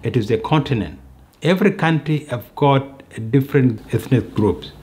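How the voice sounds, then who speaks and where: A man's voice: mid-range voice, animated, darkish tone. Middle-aged man explaining patiently. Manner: measured, explains with examples. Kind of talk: explanatory interview talk. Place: indoor sitting room.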